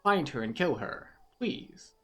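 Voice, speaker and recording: A man's voice: fairly high pitch, sing-song, takes it slowly, background noise. Fantasy game wizard played by a man, apologetic, formal and pleading. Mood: anxious pleading. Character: troubled, apologetic male wizard pleading for help.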